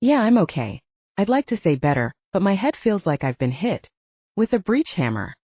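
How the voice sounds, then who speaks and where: Narrator doing stubborn young army medic woman, frustrated n sweary, audiobook, lively pitch, dry sound. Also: normal speed, slightly rough, no echo, lo-fi sound. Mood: weary.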